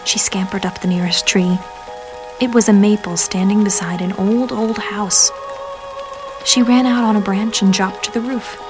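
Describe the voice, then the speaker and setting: A woman narrating a children's storybook in an expressive, measured read-aloud manner. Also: mid-range voice, rough recording. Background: music.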